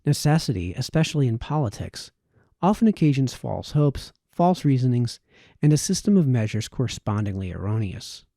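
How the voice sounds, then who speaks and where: A man reading a formal historical text aloud in audiobook style, with measured phrasing and the occasional stumble. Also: mid-range voice, sing-song, dark tone, no room sound, clean studio sound. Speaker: amateur reader of historical texts.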